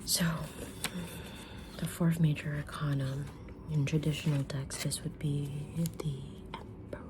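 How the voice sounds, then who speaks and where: A woman's voice: sits low, flat delivery, noisy background. Whispering, kindly mysterious witch played by a woman. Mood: calm, mysterious. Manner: slow, gentle, deliberate whispering with pauses. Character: kind, mysterious witch fortune teller, patient and sincere. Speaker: ASMR roleplayer reading tarot cards. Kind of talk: ASMR roleplay, whispered.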